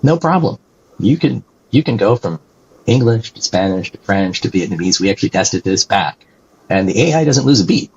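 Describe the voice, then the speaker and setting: A man's voice: fairly low pitch, animated, moderate pace, vocal fry, darkish tone, slight background noise. A man being interviewed as a guest, explaining things animatedly and tagging sentences with "right?", on a dull lo-fi recording. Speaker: guest, company executive. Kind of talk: conversational interview answers.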